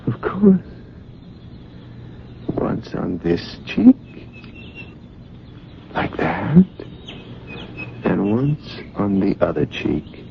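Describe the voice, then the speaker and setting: An actor playing an aloof, evasive love interest in a radio drama, measured and gently patronising. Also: male voice, fairly low pitch, huge pitch swings, gravelly, heavily acted. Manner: detached, measured. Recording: muffled noisy old radio recording.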